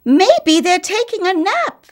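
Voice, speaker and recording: A woman's voice: very high voice, very animated, slow pace, voice pushed up, full character voice. A woman voicing an earnest, thoughtful little girl in a children's storybook. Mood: loud. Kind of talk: children's storybook read-aloud.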